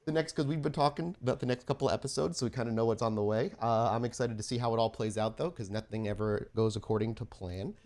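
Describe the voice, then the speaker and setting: A man's voice: mid-range voice, fast talker, hardly any pauses, a little mellow. A man acting as host and storyteller of a tabletop horror game podcast.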